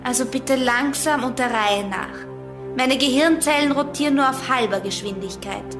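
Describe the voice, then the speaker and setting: An actress playing a sharp-witted, grumpy girl detective in a German children's radio play, dry and bossy. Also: sits high, moderate pace, over music, lightly acted. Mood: sleepy, grumpy. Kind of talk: German children's audio drama acting.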